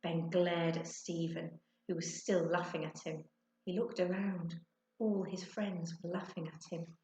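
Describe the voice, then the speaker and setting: A woman's voice: lowish voice, level tone, measured pace, dark tone. A woman narrating a children's storybook expressively, like a teacher reading to her class. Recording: echoey, roomy sound.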